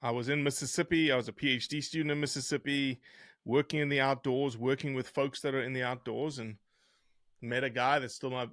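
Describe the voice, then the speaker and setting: A middle-aged man from South Africa with a softened South African accent, telling his story conversationally as an interview guest. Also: mid-range voice, dry recording, studio quality. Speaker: interview guest, conservation scientist.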